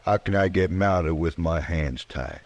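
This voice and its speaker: An American actor playing a sardonic, plain-spoken accused horse thief in a radio western. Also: male voice, sits low, unhurried. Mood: bitter, defiant. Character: defiant, bitter accused horse thief, Old West. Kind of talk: 1950s radio western acting.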